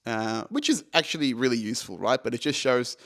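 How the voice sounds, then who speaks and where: A man's voice: medium pitch, lively intonation, a little fast, a bit bright. A man in his thirties hosting a solo podcast, talking in a loose, rambling way, swearing freely and poking fun at himself. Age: 30s. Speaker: podcast host. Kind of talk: casual solo podcast monologue.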